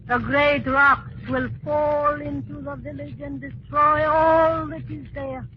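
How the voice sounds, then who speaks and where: A native jungle woman guarding a dark secret in a 1940s radio drama. She speaks slowly and ominously, every phrase a deliberate warning. The recording is muffled, with some background noise. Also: high-pitched, flat delivery.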